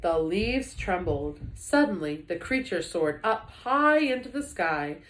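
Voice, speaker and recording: A woman reading a children's book aloud like a teacher, with expressive, dramatic emphasis. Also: on the low side, a little slow. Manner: animated, dramatic emphasis for young listeners. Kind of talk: expressive storybook read-aloud. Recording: echoey room.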